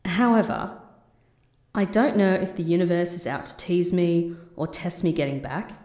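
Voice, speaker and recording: Lady doing a grudging ex spy woman for an audio drama, lowish voice, dry and sardonic. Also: lively intonation, moderate pace, darkish tone, natural voice. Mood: reflective. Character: reluctant, sardonic young female ex-secret agent. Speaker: voice actor. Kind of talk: anime-style audio drama acting.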